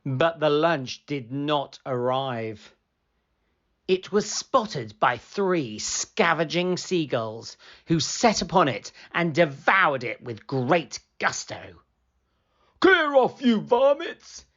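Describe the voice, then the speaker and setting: A woman reads a picture book aloud for children. Her low voice moves slowly and with plenty of melody. The recording is a little dull and lo-fi. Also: expressive, a bit bright. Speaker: storybook reader. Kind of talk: read-aloud children's story narration.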